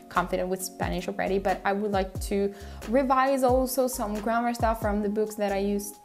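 A young woman talking casually about her language study goals, relaxed and chatty with frequent laughter and fillers. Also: mid-range voice. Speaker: language learning podcaster.

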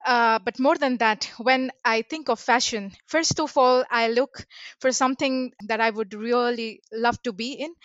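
A woman's voice: a little high, unhurried, no room sound. A woman who is a fashion blogger, answering an interview with frequent hesitations and fillers. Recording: dry, dull lo-fi.

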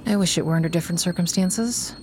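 A woman's voice: on the low side, quick pace, a little mellow, slight background noise, natural voice. An actress playing a reserved, awkwardly polite young woman in a naturalistic audio drama. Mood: awkward, subdued.

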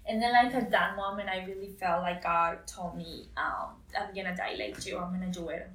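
A woman's voice: lowish voice, brisk. Young woman, chatty storytelling, echoey room, distant mic. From Costa Rica. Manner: excited, chatty. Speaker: interview guest, first-time mother. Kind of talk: casual personal storytelling. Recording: roomy, distant mic, faint noise.

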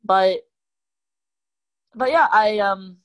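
A woman whose first language is Cantonese, chatting casually as a guest, speaking in a hesitant, halting way. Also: medium pitch. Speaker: guest, channel creator. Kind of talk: casual conversation.

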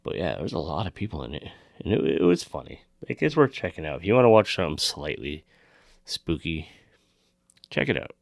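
A man's voice: low-pitched, very animated, a little fast, gravelly, no echo, clean studio sound. A man co-hosting a casual chat about films, talking informally and swearing freely. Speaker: podcast co-host.

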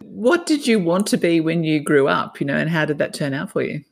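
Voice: medium pitch, lively intonation, brisk, creaky. A podcast host with a mid-range voice guides an interview. The host strings together long, loose sentences padded with fillers. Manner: warm, rambling introductions with fillers. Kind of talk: hosted interview.

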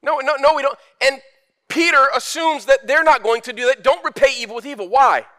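A man's voice: extremely high pitch, fairly quick, sharp tone. A man preaching a sermon as pastor, candid and conversational, building to emphatic rises.

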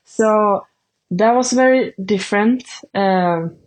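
A woman's voice: medium pitch, takes it slowly. A woman in her twenties with a Swedish accent, Swedish native speaker, answering interview questions casually and hesitantly, full of fillers. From Sweden. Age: 20s. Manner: hesitant, many fillers like "like" and "I don't know". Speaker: athlete guest.

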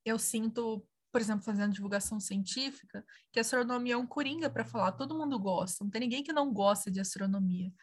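Higher-voiced woman explaining astronomy. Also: fast, hardly any pauses, breathy edge. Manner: explanatory, thinking aloud with restarts. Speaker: astronomer guest. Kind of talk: casual podcast interview.

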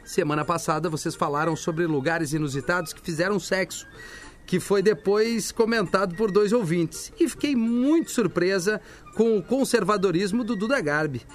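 A man's voice: high-pitched, normal speed, faint music behind. A man with a southern Brazilian Gaúcho accent, hosting live radio in a casual, conversational style. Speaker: radio show host. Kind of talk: casual live radio chat and listener mail reading.

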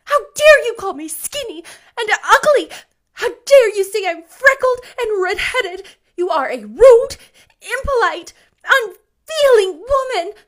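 A woman's voice: very high voice, big pitch swings, unhurried, pitched above the performer's own voice, full character voice. A woman voicing a hurt, furious young orphan girl in a storybook, with passionate, choked outbursts. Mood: tearful indignation.

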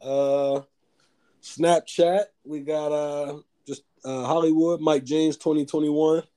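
A man with a deep voice, a rapper guest in casual interview chat, slangy and swearing freely. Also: little pitch movement, takes it slowly, warm tone, smooth.

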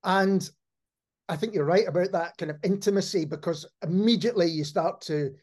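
A man's voice: high voice, moderate pace, darkish tone. Man in his fifties, casual podcast host. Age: 50s. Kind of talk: casual conversational interviewing.

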